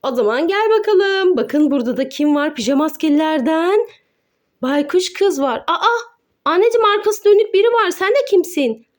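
Woman, very high voice, playful toy presenter, child-directed, exclamatory, full of questions. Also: expressive, moderate pace. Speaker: mother presenting children's toy video with her son.

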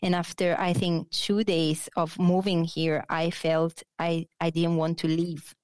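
A woman's voice: on the low side, little pitch movement, unhurried, a little mellow, dry recording. A woman in her thirties from Naples with an Italian accent, a guest on a video-call interview, talking hesitantly with many fillers and restarts over a headset mic. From Italy, Naples. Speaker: guest, architect. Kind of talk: casual interview. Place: bright office.

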